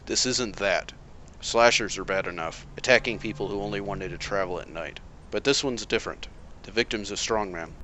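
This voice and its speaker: A man playing a calm, dry vigilante detective. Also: lowish voice, a little hiss or hum, poor audio. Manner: measured, analytical, dry. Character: calm brilliant masked vigilante detective, wry. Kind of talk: amateur motion comic voice acting.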